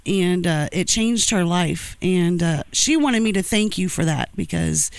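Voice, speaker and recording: A middle-aged man interviewing, speaking in a personal, conversational style with pauses between short phrases. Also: high-pitched, lively intonation, gravelly, clean tone, dry recording.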